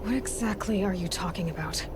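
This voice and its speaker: A woman's voice: lowish voice, brisk, very noisy, natural voice. An actress playing a stubborn, questioning young female adventurer in a video game, earnest with a sardonic edge. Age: young adult. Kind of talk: dramatic video game acting.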